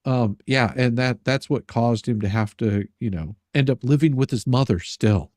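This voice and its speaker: A man chatting casually as a livestream host, with a halting, sarcastic manner that often repeats words. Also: mid-range voice, a little mellow, no room sound, studio quality. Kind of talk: casual banter.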